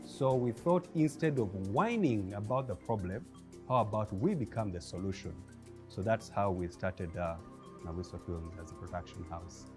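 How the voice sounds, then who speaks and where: A man in his thirties with a Ugandan English accent, a film producer talking as a guest in a studio interview, lively and acting out the voices he quotes. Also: lowish voice, moderate pace, soft background music. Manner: animated storyteller, acts out quoted voices with laughs. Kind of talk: interview conversation. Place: bright indoor studio set with armchairs.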